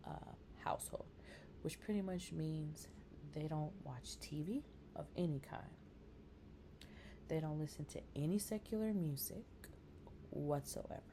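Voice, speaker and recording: A woman's voice: fairly low pitch, background noise. A woman in her thirties talking casually to camera in a vlog, chatty and rambling with lots of fillers, encouraging her viewers. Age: 30s. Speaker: vlogger.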